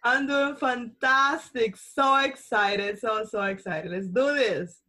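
A woman's voice: a little high, slow pace, pushed and bright. Bubbly Colombian-accented woman. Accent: Colombian Spanish. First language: Spanish. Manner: bubbly, laughs often, chatty with frequent restarts. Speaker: podcast host being interviewed. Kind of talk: casual podcast chat.

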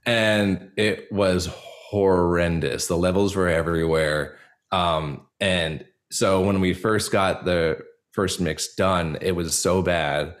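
A man speaking reflectively as a podcast guest, earnest and halting, with a self-deprecating way of talking. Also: deep voice, fairly even intonation. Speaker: podcast guest, audio drama creator. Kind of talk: reflective interview conversation.

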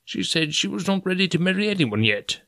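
A man's voice: high-pitched, big pitch swings, brisk, gravelly, pushed and bright, no echo, pitched above the performer's own voice, exaggerated voice. A man voicing a fussy, courteous, anxious old professor in an audiobook story. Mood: courteous, resigned. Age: older.

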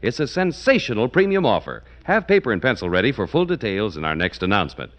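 A man announcing a 1940s radio show and reading its sponsor ad in a booming, theatrical style. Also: big pitch swings, fast, muffled recording.

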